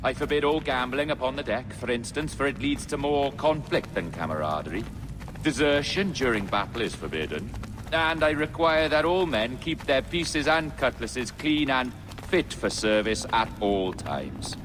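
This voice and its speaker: An actor playing an eerie, self-possessed pirate sage in a video game, speaking in an eloquent, cryptic, theatrical way. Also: male voice, mid-range voice, sing-song, moderate pace, natural voice. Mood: lofty, amused. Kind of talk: dramatic video game acting. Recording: noisy outdoor ambience behind the voice.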